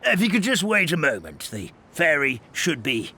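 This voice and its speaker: An actor playing an old, forgetful paper creature who acts as a gentle, fretful elder, rambling in a dramatic grandfatherly way. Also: fairly high pitch, huge pitch swings, moderate pace, slightly rough, exaggerated voice. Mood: friendly. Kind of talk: video game dub acting.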